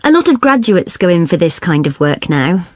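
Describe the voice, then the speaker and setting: A woman's voice: on the low side, sing-song, moderate pace, no room sound. A keen student girl chatting about coursework, agreeable, very up and down voice, dry sound. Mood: engaged. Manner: engaged, agreeable. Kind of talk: scripted study discussion.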